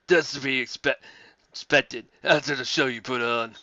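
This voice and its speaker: A man's voice: medium pitch, fairly even intonation, moderate pace, sharp tone, no room sound, lo-fi sound. A man giving a casual amateur dramatic reading as a guest playing character parts.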